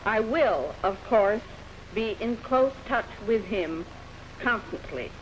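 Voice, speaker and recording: A woman's voice: medium pitch, animated, slow. A woman with an American accent, a press secretary reading an official statement aloud in short, formal phrases. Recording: dull 1950s news recording, faint noise.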